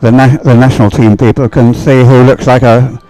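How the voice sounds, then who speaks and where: A man giving live, unscripted sports commentary in a halting way, thinking aloud as he watches. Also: medium pitch, flat delivery, mellow, dry recording, soft background music, low-quality recording.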